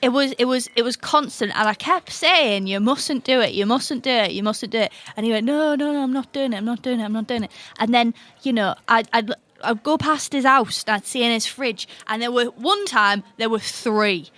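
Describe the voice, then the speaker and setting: A woman plays a towering bone man who works as a dentist, anxious and forever apologetic. The voice is a bit high, flustered and pleading, looping over its own phrases. It is improvised comedy roleplay. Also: normal speed, few pauses, a bit bright, no room sound, natural voice. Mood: exasperated.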